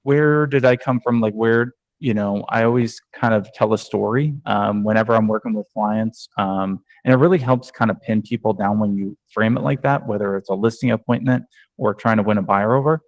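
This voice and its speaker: Male agent-trainer from New York, lowish voice, chatty with fillers, dry video-call audio. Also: moderate pace, no echo. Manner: conversational, many ums and restarts. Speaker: real estate agent and trainer. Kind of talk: online training talk.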